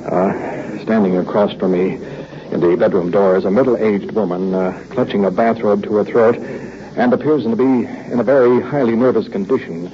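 A man's voice: lowish voice, moderate pace. A man reporting live as he rides along with police, improvising hesitantly in formal wording, in the style of an old radio documentary. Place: on location in police car and houses. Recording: muffled, very noisy old field recording. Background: loud engine and ambient noise.

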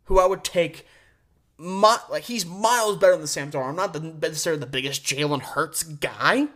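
A man's voice: on the high side, normal speed, fairly bright tone. A man hosting a solo sports show, giving opinionated commentary with emphatic delivery and words stretched out for stress. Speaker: sports podcast host.

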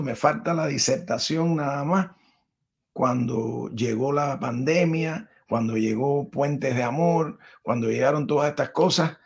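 A man's voice: mid-range voice, gravelly, slightly rough. A middle-aged Cuban man, a Spanish teacher and podcast guest, telling his life story in a rambling, conversational way full of restarts. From Cuba. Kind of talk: conversational interview.

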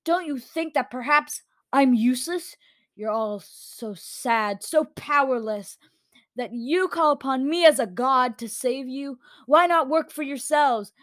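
A haughty male god-creature, acted by a woman narrator addresses humans with scorn. The delivery is slow, booming and imperious, as in a fantasy storybook, with a dry close sound. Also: warm tone, no echo. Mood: scornful, angry.

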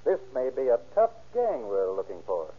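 A man playing a calm, authoritative police chief in 1930s radio drama, brisk and protective. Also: mid-range voice, heavy background noise. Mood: commanding, brisk. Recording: tinny muffled 1930s radio recording.

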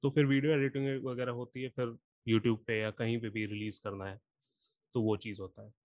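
A singer and rapper explaining patiently, step by step, in a casual podcast chat, with a sore throat from a cold. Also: male voice, medium pitch, level tone, moderate pace, a little mellow, dry recording.

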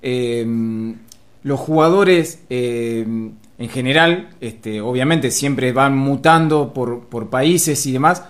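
A man's voice: mid-range voice, slow pace. A man with an Argentine accent hosting an interview, asking long questions padded with fillers. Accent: Argentine Spanish. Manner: rambling questions with many fillers. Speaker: interviewer, show host.

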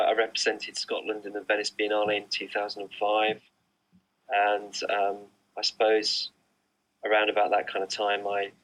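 A middle-aged man with a low, bright-edged voice talks about his work in a remote interview. He rambles and hesitates, full of ums and restarts, and keeps his pitch fairly flat. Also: low-quality recording. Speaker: artist, interview guest. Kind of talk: casual interview answers. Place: remote online recording.

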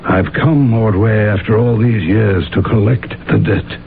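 An actor playing a menacing, vengeful intruder who speaks deliberately in a radio drama. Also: male voice, on the low side, creaky. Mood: menacing, accusing. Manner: slow, deliberate, threatening. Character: menacing, vengeful male intruder from Ordway's past. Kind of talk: old-time radio drama acting. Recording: tinny, faintly noisy old radio broadcast.

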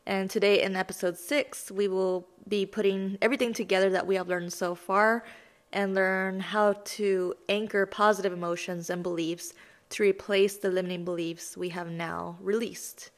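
A woman in her thirties hosting a self-help lesson podcast, her voice raspy as she gets over being sick, talking in a chatty, self-deprecating, explanatory way. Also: medium pitch, steady tone, moderate pace. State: raspy voice from recent illness. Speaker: podcast host.

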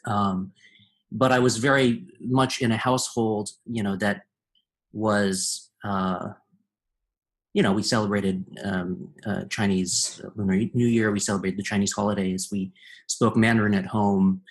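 A man talks as a guest in an easygoing conversation, his voice sitting a little low. He speaks thoughtfully, pausing and reaching for the right words as he goes. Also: flat delivery. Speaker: guest composer. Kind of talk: casual conversation.

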